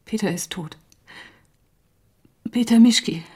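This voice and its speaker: An actress playing a grieving, doubting woman in a radio drama, speaking haltingly with a breathy, husky voice. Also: medium pitch. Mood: anxious, grieving. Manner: hesitant, halting, hushed. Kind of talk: naturalistic radio drama acting.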